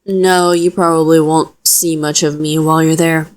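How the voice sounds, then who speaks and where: A young witch voiced by a woman speaks straight to the listener in an audio roleplay. Her voice sits a little low for a woman. She explains things softly and reassuringly, protective yet modest about herself. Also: flat delivery, normal speed, lightly acted. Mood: sheepish, self-deprecating.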